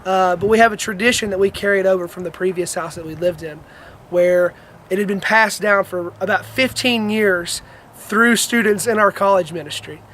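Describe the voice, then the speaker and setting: A man's voice: sits high, moderate pace, fairly bright tone, a little hiss or hum. A man, giving a house tour in a casual video submission. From Alabama. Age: 20s. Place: outdoors and in a house.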